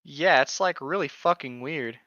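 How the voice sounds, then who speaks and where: A man's voice: a little high, measured pace, sharp tone, dry recording, dull audio. A man co-hosting a podcast, joking casually with slangy, profane, mocking commentary on a book. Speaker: podcast co-host.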